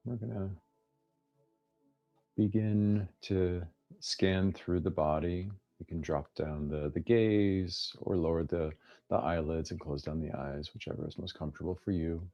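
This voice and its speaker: Deep, slow-paced man guiding meditation. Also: steady tone, gravelly, lo-fi sound, faint music behind. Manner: unhurried phrasing with long pauses, gently inviting. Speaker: guided meditation teacher. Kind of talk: guided meditation, soft instructional narration.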